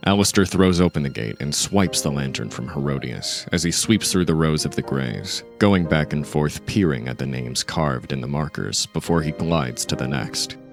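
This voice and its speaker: A man narrating a dark audio drama in a measured, literary storytelling manner. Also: rumbling low, vocal fry, faint music behind. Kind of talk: scripted narration of dark fiction.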